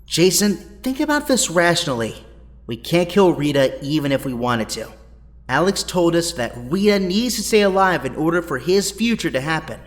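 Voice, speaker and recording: Man acting a lively, reasoning young hero. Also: on the high side, moderate pace. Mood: urgent reasoning. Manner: earnest, reasoning. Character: rational, pleading young male hero. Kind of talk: audio drama acting. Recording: long hall reverb.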